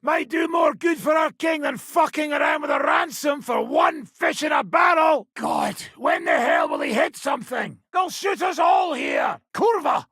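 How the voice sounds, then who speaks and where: An actor playing a brash, foul-mouthed medieval mercenary commander in a video game, with a rough, raspy voice and a coarse, sardonic swagger. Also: male voice, extremely high pitch, wildly swooping pitch, unhurried, few pauses, a bit bright, no echo, raised voice, studio quality, full character voice. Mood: angry shouting. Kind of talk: video game character acting.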